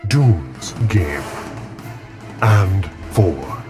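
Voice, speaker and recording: A man's voice: low-pitched, animated, very slow, darkish tone, faint music behind, full character voice. A man playing a cold, imperious, scheming supervillain who makes pompous, theatrical pronouncements in a superhero drama. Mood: cold, ominous. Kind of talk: scripted superhero audio drama.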